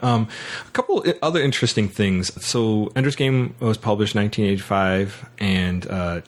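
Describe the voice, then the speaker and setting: Male podcast host, low register, informal discussion style, halting and self-correcting. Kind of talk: casual film and book discussion.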